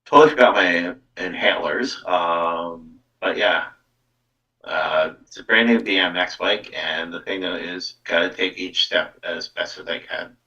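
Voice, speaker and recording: A man's voice: low-pitched, unhurried, pushed and bright. A man hosting a live psychic card reading show, chatting casually with his audience. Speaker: psychic medium host giving card readings. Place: live internet stream. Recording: dull band-limited sound, far from mic.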